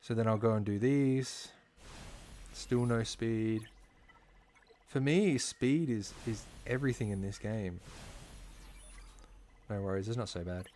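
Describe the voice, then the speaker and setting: A man talking casually while live-streaming a game, slightly hoarse, chatty and full of fillers, from a home streaming setup. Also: lowish voice, slow pace, pauses often, a little mellow, a little hiss or hum. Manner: chatty, filler-heavy, talks while playing. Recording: clear background music under voice.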